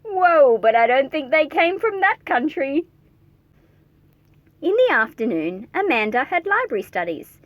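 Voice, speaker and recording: A woman reading a children's picture book aloud in an expressive, playful way, speaking directly to her young listeners with chatty asides. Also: high-pitched, measured pace, no echo, narrow, tinny audio.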